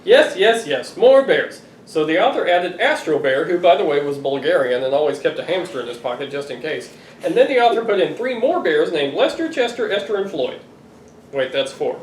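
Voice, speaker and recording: A middle-aged man reading a picture book aloud to children, expressive and playfully exasperated. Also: on the high side, moderate pace. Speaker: father reading to his children. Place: living room sofa, indoors. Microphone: camera a few feet away. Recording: roomy, distant home recording with noise.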